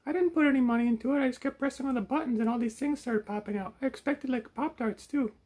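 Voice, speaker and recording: A man voicing a silly, greedy, self-important furry puppet with a naive, boastful, excitable manner. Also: very high voice, fast, voice pushed up. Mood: excited, naive. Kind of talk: puppet show banter.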